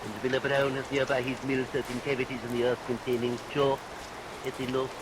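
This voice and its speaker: A man's voice: medium pitch, fairly quick, lightly acted. Man playing a pompous, sanctimonious old-time radio parson. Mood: pious, prying. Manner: pompous, archaic preacherly phrasing. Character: sanctimonious amorous male parson, unctuous and pious. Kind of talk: vintage radio play acting. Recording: vintage radio recording under steady rain noise.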